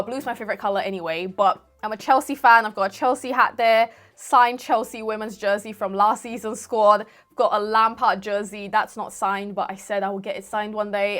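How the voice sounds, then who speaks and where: A woman's voice: mid-range voice, fairly bright tone. Chatty young woman, Singaporean accent. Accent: Singaporean with a light British mix. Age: 20s. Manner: chatty, rambling, self-correcting. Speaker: golf YouTuber. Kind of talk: casual answering of viewer questions to camera. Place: room at home with football shirts on the wall.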